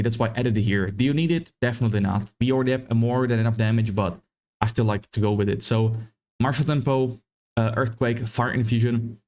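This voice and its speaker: A man with a slightly hoarse voice giving a loose, rambling walkthrough of a game build, repeating words as he thinks. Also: mid-range voice, a little mellow. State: slightly hoarse, losing his voice. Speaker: gaming content creator. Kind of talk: casual game build guide.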